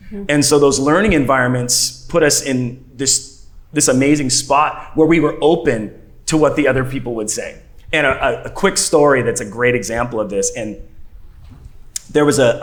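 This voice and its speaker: A man speaking on a conference panel, telling a workplace story with animated, shifting pace in a room with an audience. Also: mid-range voice.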